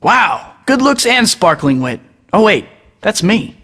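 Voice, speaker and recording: A man's voice: sits high, big pitch swings, unhurried, pushed and bright, big-room sound, heavily acted. An actor voicing a young male superhero who tosses out sarcastic taunts in a video game. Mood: mocking. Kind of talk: game voice clips.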